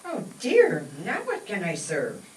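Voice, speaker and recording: A woman playing a fussing, hospitable housewife and mother in an old-time radio comedy, expressive and homely. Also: medium pitch, takes it slowly. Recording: roomy, distant stage pickup with faint noise.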